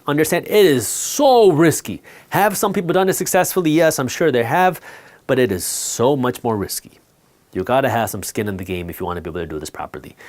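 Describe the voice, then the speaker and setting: A man whose first language is Punjabi, explaining money matters to camera in a blunt, direct, emphatic way. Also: mid-range voice. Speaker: finance educator and host.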